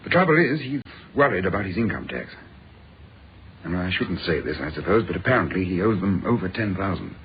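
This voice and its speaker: An actor playing a genial, self-assured British doctor in a radio drama, speaking in an urbane, conversational way. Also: male voice, sits low, sing-song, brisk. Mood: genial, confiding. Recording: dull, faintly noisy radio recording.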